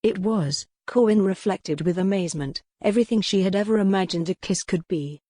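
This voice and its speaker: A woman's voice: medium pitch, brisk, warm tone, dry recording, studio quality. A woman giving a scripted, dramatic narration of a historical romance audiobook.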